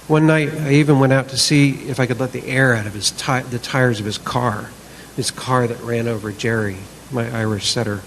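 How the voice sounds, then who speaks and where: A man's voice: medium pitch, fairly even intonation, normal speed, lightly acted. Man acting a bitter, brooding man with noisy background. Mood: brooding resentment. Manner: aggrieved, obsessive, sarcastic. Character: bitter, sleepless man brooding over a feud with his neighbour. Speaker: reader in a church service skit. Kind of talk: dramatic monologue reading.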